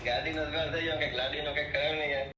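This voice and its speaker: Fast-talking Gujarati man, distant on a call. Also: on the high side, fairly even intonation. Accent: Gujarati, Saurashtra. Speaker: prank call victim on the phone. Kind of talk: casual phone chat. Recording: distant echoey phone call, crowd noise behind.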